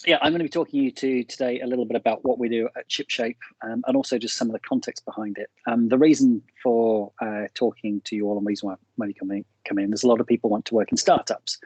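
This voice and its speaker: A man's voice: on the low side, darkish tone, breathy edge, no echo, low-quality recording. A middle-aged man with an Australian accent, giving a frank, cynical seminar talk over a video call with many fillers and restarts. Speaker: guest speaker.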